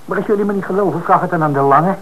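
A man's voice: high voice, racing. An actor playing a defensive working-class suspect in a Dutch radio drama, speaking colloquially as he protests his innocence. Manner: colloquial, hesitant, then indignant. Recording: noisy old radio broadcast recording.

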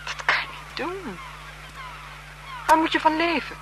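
A woman's voice: very high-pitched, animated, unhurried. An actress playing a cool, haughty married woman with a condescending manner in a radio thriller. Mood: alarmed. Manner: condescending, dismissive, dramatic. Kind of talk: 1970s Dutch radio thriller acting. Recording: noisy 1970s radio drama broadcast.

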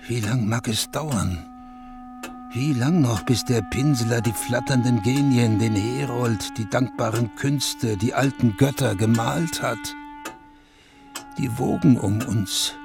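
An actor playing a proud, brooding, weary seventeenth-century ruler in a radio play, pompous in manner, with a gravelly, husky voice. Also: male voice, medium pitch. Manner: pompous, slow, speaks in royal we. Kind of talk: German radio play monologue.

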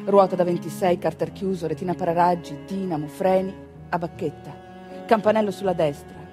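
A woman narrating a theatrical monologue in an expressive, deliberately phrased way. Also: on the low side, music in the background. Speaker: stage actress and narrator.

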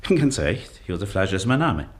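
An actor in a German radio play, playing an earnest, formal doctor who is stubborn and easily offended. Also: male voice, fairly low pitch, big pitch swings, moderate pace. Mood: polite, formal. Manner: formal, hesitant, circumstantial.

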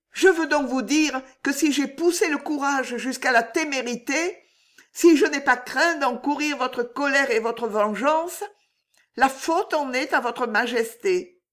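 A woman's voice: sits high, unhurried, pitched above the performer's own voice. A woman reading aloud and voicing a young woman courtier who is trembling, ardent and scheming, pleading with a king. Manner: breathless, pleading, emotional.

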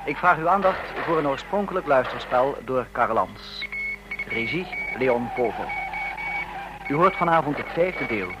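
Male radio drama announcer, medium pitch, formal scripted delivery, old broadcast with very heavy noise behind. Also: animated, a little slow. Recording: very noisy old radio recording.

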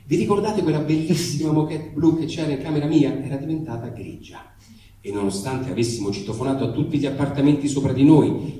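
A man's voice: a little high, mellow. A man giving a dramatic, comic storytelling reading of a letter. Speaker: actor reading aloud. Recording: very echoey hall, distant mic, noise.

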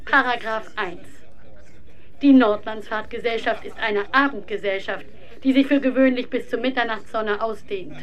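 An actress playing a formal narrator who reads documents from a script in a radio play. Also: female voice, on the high side, moderate pace, close to an everyday voice. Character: formal female reader of club statutes. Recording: slightly dull sound, crowd behind.

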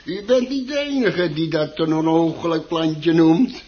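An actor in a radio play playing a chatty, grumbling plant shop keeper who hesitates, stammers and repeats himself. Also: male voice, fairly high pitch, a little slow, natural voice. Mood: earnest. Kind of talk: Dutch radio play acting. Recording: dull, muffled old radio recording.

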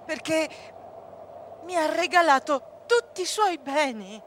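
Brief: actress as wondering household servant, high pitch, unhurried theatrical monologue, clearly noisy background. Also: female voice, expressive, fairly bright tone, full character voice. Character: puzzled, chatty servant musing about the master. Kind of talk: stage drama monologue, radio broadcast. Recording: clear background rumble and noise.

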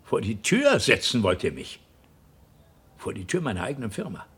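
An actor in a German radio drama playing a choleric, bitter middle-aged husband and company boss, speaking haltingly and with volatile feeling. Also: male voice, lowish voice, huge pitch swings, a bit bright, husky, exaggerated voice. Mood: indignant. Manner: stammering, emotionally volatile.

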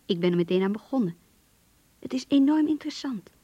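A dull old radio-play recording of a curious young secretary. Her voice is high and breathy, and she speaks eagerly, as if confiding to a diary. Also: expressive, brisk, warm tone. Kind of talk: Dutch radio play acting, diary narration.

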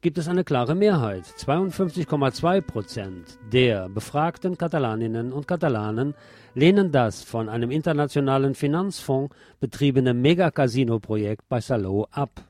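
A male radio presenter reads prepared news and commentary in a voice of average pitch. Music plays faintly behind him as he goes through the script. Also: lively intonation, moderate pace, darkish tone.

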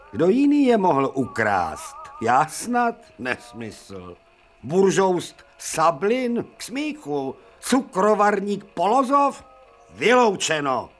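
An actor playing an imperious, irascible older professor, declaiming sarcastic rhetorical questions in a theatrical radio drama. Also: male voice, fairly high pitch. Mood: indignant. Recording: music faintly behind the voice.

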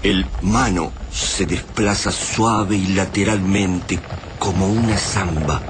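An actor narrating an apocalyptic radio drama gravely and suspensefully. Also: male voice, low-pitched, fairly even intonation, slow pace. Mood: grave, tense. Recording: clearly noisy, sound-effect ambience.

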